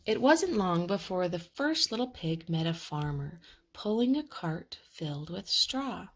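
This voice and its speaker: A woman reads a children's story aloud as storyteller. Her delivery is expressive and dramatic, with very lively pitch, a slow pace and a slightly husky, breathy tone.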